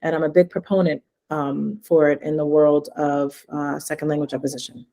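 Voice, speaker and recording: A woman's voice: sits low, flat delivery, normal speed, mellow. A middle-aged woman from New Jersey, presenting in a webinar as an education consultant, enthusiastic and full of "um" fillers. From New Jersey, USA. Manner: enthusiastic, uses many "um" fillers.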